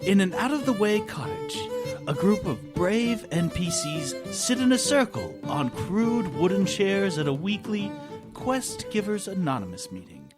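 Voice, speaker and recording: Fantasy radio-play narrator male performer, measured storyteller, animated intonation, prominent music bed. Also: high-pitched, voice pushed up. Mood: solemn storytelling. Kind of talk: scripted narration. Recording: music under the voice.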